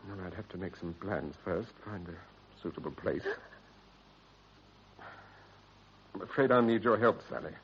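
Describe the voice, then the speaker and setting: Worried british husband in old radio play, grave n clipped, bit muffled recording. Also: male voice, low voice, fairly quick, slight background noise. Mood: anxious, resolute. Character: anxious guilt-ridden husband hiding a body, adult man. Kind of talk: period British radio drama acting. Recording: dull, band-limited vintage radio recording.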